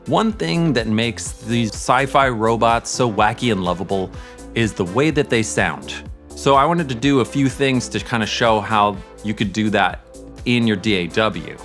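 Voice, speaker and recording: A man's voice: fairly low pitch, measured pace, fairly bright tone, faint music behind. A middle-aged man hosting a casual audio tutorial, explaining things as a sound mixer would. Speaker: host, sound editor and mixer.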